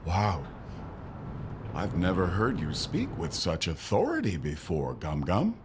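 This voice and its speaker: Man playing a deep, animated mountain troll dad. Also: a little slow, background noise, full character voice. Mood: humbled, sincere. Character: mountain troll father and leader, blustery but humbled. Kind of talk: family audio drama acting.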